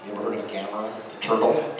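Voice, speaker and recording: A man's voice: fairly low pitch, rapid-fire. An American man speaking as a voice actor on a convention panel, telling anecdotes informally and acting out bits of dialogue. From United States. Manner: animated storyteller, acts out dialogue. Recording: very echoey hall, distant mic, noisy.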